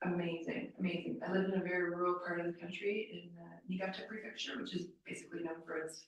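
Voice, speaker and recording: A woman's voice: fairly low pitch, flat delivery, quick pace, highs cut off. Chatty woman in an echoey room. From Toronto, Canada. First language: Serbian. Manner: chatty, storytelling. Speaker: podcast guest. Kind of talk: casual interview chat. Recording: echoey room, distant mic.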